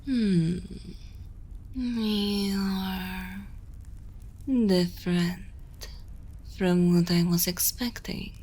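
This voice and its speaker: A woman playing an imperious, seductive evil queen in an ASMR roleplay, speaking deliberately and often slipping toward a whisper, commanding and condescending. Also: fairly low pitch, fairly even intonation, slightly rough, full character voice. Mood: pleased, seductive. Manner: slow, deliberate, hushed delivery slipping into whispers. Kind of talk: ASMR roleplay, intimate dramatic monologue.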